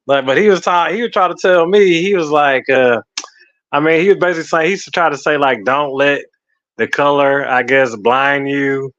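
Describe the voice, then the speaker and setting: A man's voice: fairly high pitch, normal speed, fairly bright tone, no room sound. A man telling a story in a rambling, slangy way, swearing and using lots of fillers. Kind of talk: casual storytelling. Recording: slightly muffled lo-fi audio.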